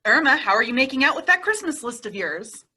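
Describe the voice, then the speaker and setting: Sensible, scolding female wisecracker for radio comedy, bright and animated, slightly rough-edged voice, acted by a woman. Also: high-pitched, brisk, exaggerated voice. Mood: doubtful. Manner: dry, scolding. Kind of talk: old-time radio comedy acting.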